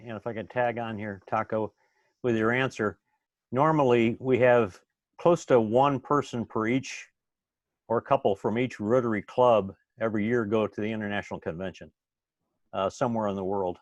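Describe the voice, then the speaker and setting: A man's voice: fairly low pitch, no echo, highs cut off. A man hosting an online club meeting, talking casually with frequent fillers. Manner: chatty, filler-heavy. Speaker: meeting host.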